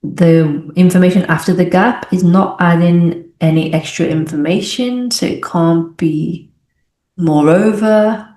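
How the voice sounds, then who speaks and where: A low-pitched young woman from the UK, working through an English exam aloud like a teacher. She explains each choice as she goes and sometimes corrects herself. The recording has a noticeably echoey room sound. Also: measured pace, mellow. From United Kingdom. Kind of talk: think-aloud exam walkthrough.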